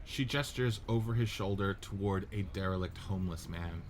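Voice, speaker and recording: Brief: male narrator, low-ish voice, plain steady reading of scene directions. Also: moderate pace, a little hiss or hum. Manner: steady, matter-of-fact reading.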